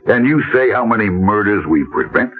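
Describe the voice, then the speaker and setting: An actor playing a calm, grim, condescending small-town police chief in an old-time radio drama, speaking firmly. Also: male voice, lowish voice, brisk, breathy edge. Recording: muffled vintage radio recording.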